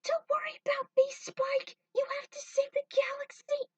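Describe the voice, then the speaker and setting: A woman voicing a desperate young heroine in a story, with high, breathy, urgent cries. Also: level tone, normal speed, a little mellow, no echo, recorded from a distance, lo-fi sound. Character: desperate young heroine crying out to her beloved.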